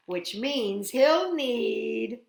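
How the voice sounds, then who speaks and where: A woman hosting storytime, reading a picture book aloud to young children in an animated, playful way with chatty asides. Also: fairly high pitch, very slow, creaky, reverberant.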